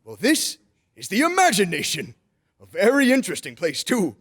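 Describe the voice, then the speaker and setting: A man's voice: high-pitched, wildly swooping pitch, moderate pace, a bit bright, breathy edge, full character voice. A man playing a jolly, kindly old Santa Claus in old-time radio drama style, with playful, grandfatherly, coaxing phrasing. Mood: playful, explaining.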